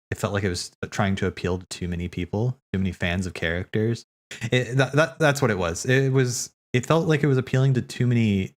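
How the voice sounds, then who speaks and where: A man streaming casual, rambling commentary, thinking aloud as he hosts, often repeating his words. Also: lowish voice. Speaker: streamer hosting a watch party.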